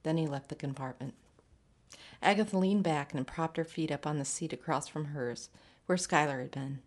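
A woman reading a literary short story aloud in a measured, scripted narration. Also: deep voice. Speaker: story reader.

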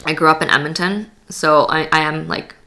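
A woman's voice: low voice, fairly even intonation, a little fast, bright, forceful tone. A young woman born in Poland, talking casually as a podcast guest, full of "like" and restarts. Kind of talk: casual interview chat.

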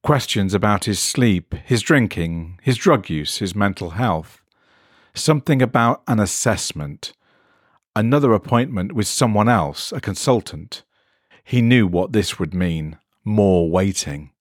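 Low, expressive male story narrator. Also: unhurried, dry recording, clean studio sound.

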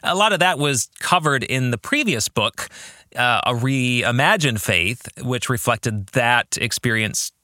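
Podcast host: male, middle-aged, earnest and deliberate, animated intonation, dry close sound. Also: mid-range voice, no room sound. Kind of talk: reflective monologue about faith and fiction.

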